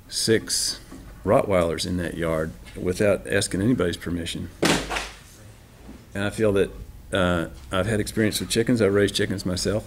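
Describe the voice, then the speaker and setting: Deliberate low-voiced man at a noisy meeting. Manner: deliberate, formal. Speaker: board member. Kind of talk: formal remarks at a public meeting. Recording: noisy meeting room recording.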